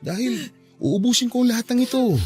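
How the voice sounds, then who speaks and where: A man's voice: very high voice, expressive, fairly quick, a little mellow, soft background music. A man playing a patient, caring husband in a radio drama, speaking earnestly and coaxingly. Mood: reassuring. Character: patient, caring husband and father, reassuring his forgetful wife. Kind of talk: Tagalog radio drama acting.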